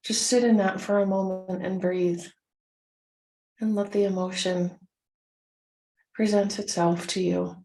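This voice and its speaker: Creaky-voiced woman guiding a meditation. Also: mid-range voice, flat delivery, a little slow, long pauses, darkish tone, poor audio. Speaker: meditation guide. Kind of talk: guided meditation, gently instructive.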